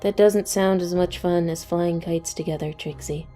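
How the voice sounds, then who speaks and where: A lowish, soft-edged cartoon pony lady, acted by a woman, utterly monotone. She is deadpan and dryly contrary, never sounding excited, with music underneath. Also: moderate pace, natural voice. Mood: indifferent. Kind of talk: fan audio drama, cartoon-style acting.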